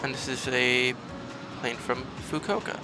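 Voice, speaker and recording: A man's voice: mid-range voice, flat delivery, a little slow, sharp tone, a little hiss or hum. A man hosting a gaming video, explaining a game step by step in tutorial-style commentary.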